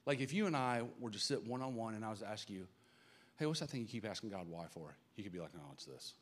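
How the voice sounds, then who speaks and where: A man with a Southern American accent, a pastor giving a live church sermon, earnest and building to loud, passionate emphasis. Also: on the low side, lively intonation, fast. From Southern United States.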